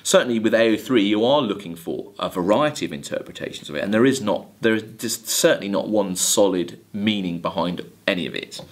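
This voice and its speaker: Guy in his 30s teaching lit, lowish voice, really swings up and down, stops and restarts for emphasis. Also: animated, fairly quick, hardly any pauses. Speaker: college English literature lecturer. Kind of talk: lecture with quoted lines of verse. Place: indoors, recorded video lecture at a desk.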